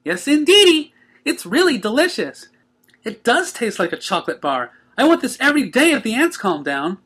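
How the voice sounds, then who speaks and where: Storybook cartoon pony girl male performer: childlike, chatty, cheerful, high-pitched and clear. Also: very animated, normal speed, fairly bright tone, exaggerated voice. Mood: perky. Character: hyper, bubbly, childlike young female cartoon pony.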